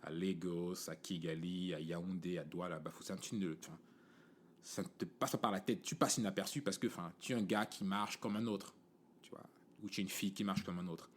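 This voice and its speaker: A man's voice: low-pitched, steady tone, fairly quick, slight background noise. A man in his forties from Cameroon with a Cameroonian French accent, hosting a podcast in a casual, rambling monologue full of fillers and "tu vois" asides. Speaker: podcast host.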